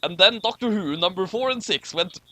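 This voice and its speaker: A man reading comic fan fiction aloud with exclamatory, animated delivery and amused asides. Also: high-pitched, brisk, no room sound.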